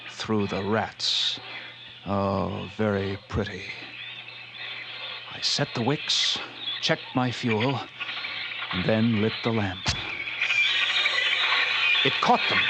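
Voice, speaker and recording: Slow, hushed man narrating horror. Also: mid-range voice, heavy background noise. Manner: slow, hushed, dread-filled storytelling. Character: haunted lighthouse keeper telling his story. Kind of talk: 1940s radio acting. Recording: old radio recording with loud music.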